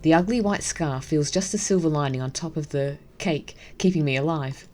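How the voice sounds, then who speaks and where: A woman with a low, mellow voice hosts a game playthrough. She moves between casual commentary and reading narration aloud, with faint music behind her. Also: normal speed. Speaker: gaming video host.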